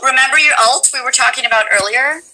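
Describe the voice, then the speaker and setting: A woman voice actress hosting a casual chat, effusive and gushing, with a fairly deep voice for a woman. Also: fast, pushed and bright, rough recording. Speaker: voice actress hosting a stream interview.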